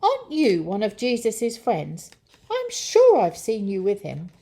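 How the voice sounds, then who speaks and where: A woman's voice: mid-range voice, wildly swooping pitch, measured pace, mellow, pitched above the performer's own voice, heavily acted. A woman voicing an accusing young servant girl in a children's story, bold and insistent.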